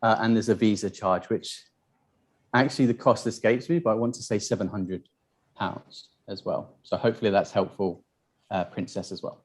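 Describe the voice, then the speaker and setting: A man from a university answering questions on a webinar, relaxed and hesitant with many fillers. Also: lowish voice, moderate pace, slightly rough. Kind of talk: casual spoken answers.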